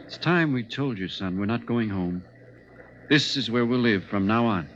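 An actor playing a gentle, grave father in a 1950s radio drama, speaking in a measured, reassuring way. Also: lowish voice, lively intonation. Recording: muffled old radio recording, faint noise.

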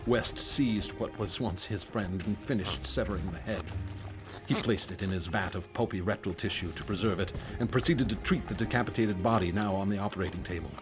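Radio drama: male actor as a low-voiced, guilt-worn surgeon narrating, music bed. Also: fairly quick, natural voice, rough recording. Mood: grim. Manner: grave, weary confessional telling. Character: haunted male doctor, narrator of his past.

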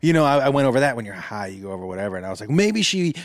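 Man, casual comedic chat, very lively pitch, dry close sound. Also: very fast, no room sound. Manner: animated storyteller, bursts into high-pitched singing and laughter.

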